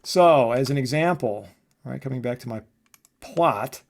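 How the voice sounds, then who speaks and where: A man's voice: medium pitch, animated, a little slow, creaky. A man giving an informal technical lecture as a professor, explaining step by step and often checking in with short tag questions. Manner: conversational, often adding check words like "right?" and "okay?". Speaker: electronics professor giving a lesson.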